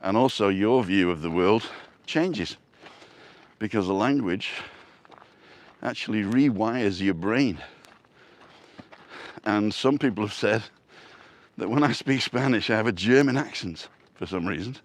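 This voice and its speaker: A man's voice: lowish voice, lively intonation, a little slow, pauses often. A man with a Yorkshire accent, chatting and joking on a live walk outdoors by the sea. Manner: chatty, joking, reads viewer comments aloud. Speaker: vlog host. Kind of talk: casual live-stream walk chat. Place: outdoors on a seaside walkway.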